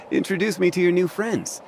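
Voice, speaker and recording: A man playing a smug, teasing gentleman in an anime game, with sarcastic politeness. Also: high voice, vocal fry, a little hiss or hum. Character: smug, teasing male acquaintance, theatrically genteel. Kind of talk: scripted anime game dialogue.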